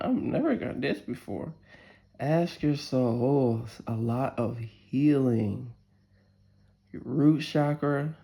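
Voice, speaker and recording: A woman in her forties with a deep, gravelly voice reads tarot cards and says a prayer. She talks in a chatty, candid way and curses freely. Her voice rings in a roomy, echoey space. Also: mellow. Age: 40s. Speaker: tarot reader. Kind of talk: casual tarot reading with spoken prayer. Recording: roomy echo.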